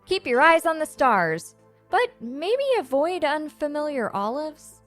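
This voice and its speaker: A woman's voice: high voice, sing-song, a little slow, fairly bright tone, soft background music, full character voice. A woman playing an arch, playful narrator in scripted sci-fi comedy.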